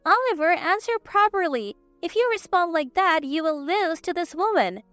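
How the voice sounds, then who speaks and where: A woman's voice: extremely high pitch, lively intonation, normal speed, fairly bright tone, no room sound, faint music behind, voice pushed up. A woman voicing a dramatic, demanding older mother-in-law in a narrated family story. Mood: demanding, alarmed.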